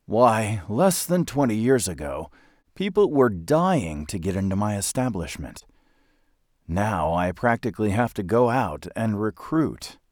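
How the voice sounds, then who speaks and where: A man voicing a gloomy, put-upon undertaker in a storybook, moaning out drawn-out complaints. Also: on the low side, big pitch swings, darkish tone, dry recording, studio quality, pitched above the performer's own voice. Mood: glum, exasperated.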